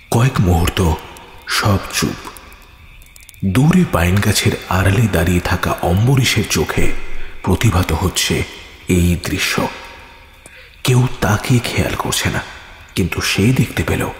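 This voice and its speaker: A man's voice: deep voice, slow pace, long pauses. A man narrating a scripted horror audio story in a theatrical, suspenseful manner. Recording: reverberant hall effect with music behind. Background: music.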